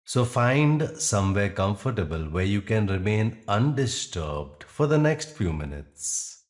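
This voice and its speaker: A man guiding a meditation in soothing, measured phrases with long, deliberate pauses. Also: lowish voice, very animated. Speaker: meditation guide. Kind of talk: guided meditation narration.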